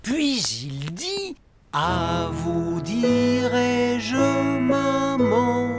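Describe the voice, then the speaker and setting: A man's voice: very high voice, expressive, very slow, loud music behind the voice, exaggerated voice. A man playing a sleepy, grumbling but kindly zebra father in a children's cartoon. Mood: gentle storytelling.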